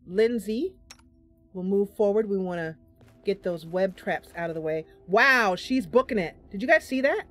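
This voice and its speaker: A woman's voice: medium pitch, animated, moderate pace, fairly bright tone, soft background music. A woman hosting a game stream, giving casual live commentary and thinking aloud through her choices as she chats to viewers. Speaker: game streamer, host of the channel.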